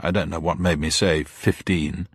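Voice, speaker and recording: A man voicing an elderly, querulous, fussy gentleman in a radio drama reading. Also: low voice, fairly even intonation, moderate pace, breathy edge, no echo, clean studio sound. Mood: fussy, flustered.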